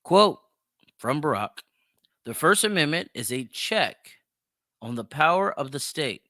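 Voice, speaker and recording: Guy doing an opinionated podcast rant, high-ish voice that swings up and down, really hammers his points. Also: sing-song, a little slow, pushed and bright, no echo, studio quality. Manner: emphatic, repeats phrases for stress. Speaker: podcast host. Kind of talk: opinionated solo commentary.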